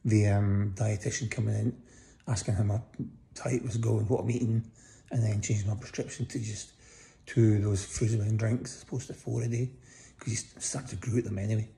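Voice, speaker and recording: A middle-aged man with a croaky voice, lying in a hospital bed and casually giving a personal update into a phone held close above him, tired and pausing often. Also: fairly low pitch, level tone, dark tone. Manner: lying in bed, tired, talking slowly with many pauses. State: croaky voice after breathing tubes. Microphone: phone held close above face.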